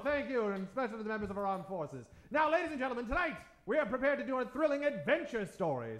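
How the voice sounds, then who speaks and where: A man's voice: extremely high pitch, a bit bright. A man hosting a live improv show in mock old-time radio style, theatrical and tongue-in-cheek, addressing a theater audience. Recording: roomy, echoing theater stage.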